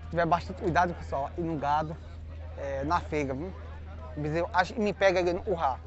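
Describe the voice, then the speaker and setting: A man's voice: fairly high pitch, fairly quick. A man with a Northeastern Brazilian accent hosting a casual, folksy vlog outdoors, often tagging his phrases with small questions. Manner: folksy, repeats prices back, tag questions. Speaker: vlog host. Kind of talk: casual livestock market tour, asking prices. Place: outdoor cattle market pens. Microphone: handheld phone, behind the camera. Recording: dull outdoor phone audio.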